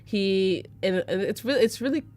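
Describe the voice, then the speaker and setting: A woman's voice: medium pitch, moderate pace, darkish tone. A young American woman from Arizona giving a casual live photo-editing tutorial, chatty and full of fillers, laughing easily. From United States (Arizona). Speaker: livestream presenter teaching photo editing.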